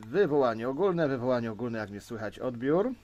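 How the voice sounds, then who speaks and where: Polish guy doing a casual gear demo, kinda halting, explains stuff step by step, normal mid voice. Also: medium pitch, lively intonation, a little mellow, highs cut off, slight background noise. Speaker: CB radio hobbyist presenter. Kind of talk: informal gadget demonstration.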